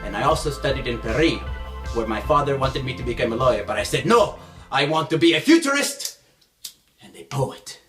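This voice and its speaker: A man's voice: medium pitch, very animated, brisk, close to an everyday voice. A young man playing a fiery, grandiose futurist poet, declaiming in an amateur school video. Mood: boastful. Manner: declamatory, proclaiming. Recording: echoey room, distant mic, music behind.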